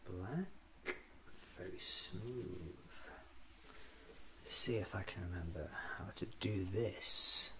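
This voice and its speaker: Man, thirties, soft ASMR roleplay, fussy eccentric doctor, half-whispered, extremely unhurried, audible background noise. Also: deep voice. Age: 30s. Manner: hushed, slipping into whispers, deliberate, musing. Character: eccentric, fussy time-travelling doctor talking to himself.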